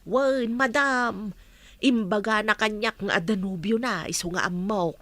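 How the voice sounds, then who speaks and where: A woman playing a gossipy, excitable young housemaid in an Ilocano radio comedy drama. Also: mid-range voice, a little slow, no room sound, close to an everyday voice.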